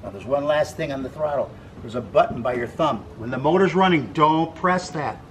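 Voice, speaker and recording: A man's voice: fairly high pitch, brisk. A man guiding a boat tour with an American accent, giving playful, teasing commentary outdoors on a lake. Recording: loud boat engine and water noise.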